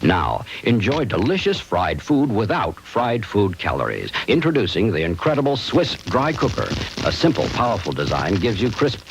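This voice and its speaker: Male announcer, lively pitch, upbeat sales read on a noisy broadcast recording. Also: moderate pace, creaky, few pauses. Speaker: commercial announcer. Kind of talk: infomercial voice-over.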